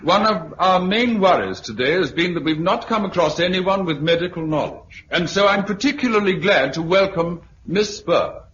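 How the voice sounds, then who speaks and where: A man's voice: high voice, expressive, normal speed, hardly any pauses, fairly bright tone. An actor with a British accent playing a hearty, courteous committee chairman rallying survivors in 1950s radio drama style. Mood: earnest, rallying. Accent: British English. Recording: dull, hissy 1950s radio recording.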